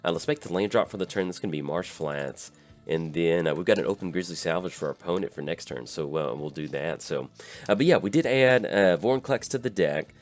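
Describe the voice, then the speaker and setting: A tired man with a slightly raspy voice, hosting and casually commenting on his own card game as he plays, thinking aloud with lots of pauses. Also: sits low, soft background music. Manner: easygoing, thinks aloud with many filler pauses. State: tired from little sleep. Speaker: channel host.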